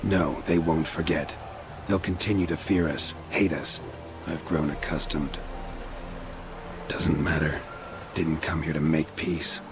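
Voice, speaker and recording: Low, terse, stoic monster hunter. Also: male voice, unhurried, long pauses, music in the background. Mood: resigned. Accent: American. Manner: deadpan, terse, clipped. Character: stoic male monster hunter, weary mercenary, dryly sardonic. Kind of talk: video game cutscene acting.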